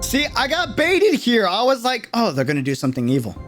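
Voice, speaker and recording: Excitable young streamer over loud music. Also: medium pitch, fairly quick. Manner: slangy, excitable, gushing. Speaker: streamer reacting to and breaking down a game trailer. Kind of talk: casual livestream reaction commentary. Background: loud game trailer music.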